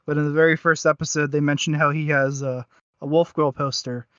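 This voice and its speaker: A man chatting casually as a podcast co-host, halting and correcting himself as he makes his points. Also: a little high, fairly even intonation, fairly bright tone, clear, resonant tone, dry recording. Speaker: podcast co-host reviewing audio dramas. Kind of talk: casual review chat. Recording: dull, lo-fi dry mic.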